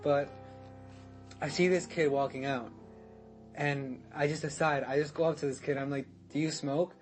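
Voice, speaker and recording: A man's voice: a little high, steady tone, moderate pace, faint music behind. A young man guesting on a podcast, telling a story in casual chat, rambling, restarting and swearing, with laughs mixed in.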